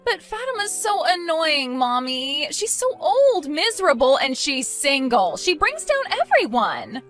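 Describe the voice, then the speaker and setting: A woman's voice: high voice, huge pitch swings, normal speed, fairly bright tone, faint music behind, full character voice. A woman playing a spoiled, self-centred young bride who whines and pleads indignantly in a scripted family drama. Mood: whiny, resentful. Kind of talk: scripted melodramatic story dialogue.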